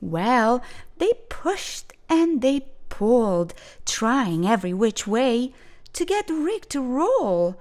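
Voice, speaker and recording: Slow, expressive woman reading a rhyming story. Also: a little high. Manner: gentle, expressive, sing-song rhyming. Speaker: storytime reader. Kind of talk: reading a rhyming picture book aloud.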